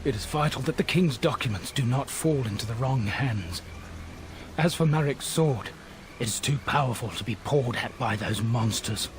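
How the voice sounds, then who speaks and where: Male actor: doomed loyal soldier, weary, solemn confession, medium pitch, game drama, faint ambience behind. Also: expressive, normal speed, a little hiss or hum, close to an everyday voice. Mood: solemn, urgent. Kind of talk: dramatic video game acting.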